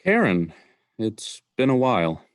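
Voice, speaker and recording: A man's voice: lowish voice, huge pitch swings, unhurried, dry recording. A man playing a slightly sleazy salesman uncle in a student radio play, casual and defensive. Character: lightly sleazy middle-aged stolen-watch salesman uncle.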